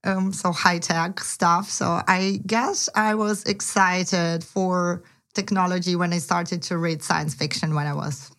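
A woman's voice: on the low side, fairly even intonation, unhurried, fairly bright tone. A woman from Ukraine with a Ukrainian accent, co-hosting a casual interview chat about investing and often restarting her sentences. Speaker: podcast co-host, angel investor.

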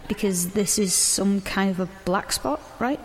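A woman's voice: medium pitch, measured pace, natural voice. An actress playing a guarded, conflicted teenage girl in an audio drama, earnest and defensive. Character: guarded, conflicted teenage girl protagonist narrating her story. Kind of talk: audio drama dialogue and first-person narration. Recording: background noise, outdoor ambience.

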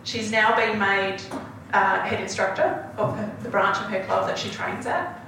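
A woman's voice: mid-range voice, little pitch movement, moderate pace, gravelly, sharp tone. A woman telling a story as a panel guest, earnest and dotted with ums. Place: large event hall. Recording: echoey hall, distant mic, background noise.

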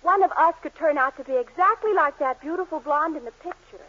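An actress playing an earnest, gently persistent young American sweetheart in a 1940s radio sitcom. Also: female voice, sits high, fairly quick. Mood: earnest, insistent. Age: young adult. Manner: earnest, emphatic, pleading. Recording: muffled 1940s radio recording.

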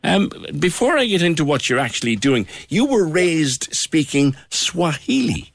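Lively radio host, dry studio sound. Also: male voice, on the high side, measured pace, dry recording. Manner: lively, restarts questions. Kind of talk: radio phone-in chat.

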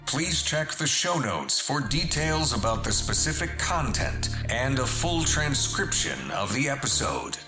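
A man's voice: mid-range voice, big pitch swings, a little slow, hardly any pauses. A man giving a scripted announcement as a podcast announcer, in an echoey recording. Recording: echoey voice over music.

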